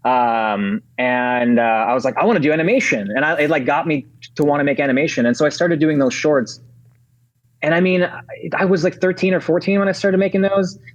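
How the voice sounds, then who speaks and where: A man's voice: fairly high pitch, a little fast. A man in his thirties, originally from Ukraine, chatting casually as a podcast guest, rambling with many fillers and restarts. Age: 30s. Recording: dull lo-fi audio.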